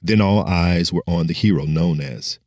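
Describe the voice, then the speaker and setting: A man's voice: deep voice, normal speed, a little mellow, no echo, studio quality. A man giving a dramatic scripted narration as an audiobook storyteller.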